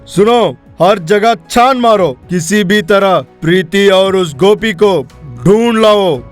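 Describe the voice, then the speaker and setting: A woman's voice: mid-range voice, slow pace, soft background music, voice pushed down, close to an everyday voice. Growly furious cartoon father barking orders, a lady doing the voice. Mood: angry. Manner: gruff, threatening commands. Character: angry menacing father, middle-aged man.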